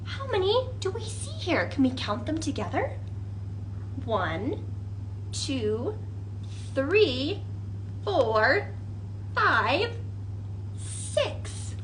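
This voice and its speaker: A woman's voice: high-pitched, wildly swooping pitch, extremely slow, long pauses, slight background noise, rough recording. A woman leading a children's storytime, reading a picture book expressively and putting questions to young listeners.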